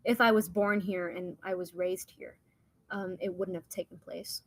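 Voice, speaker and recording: A woman's voice: on the low side, steady tone, moderate pace. A young woman whose first language is Hindi, from India and the United States, telling personal stories in a casual, rambling, informal way as a podcast guest.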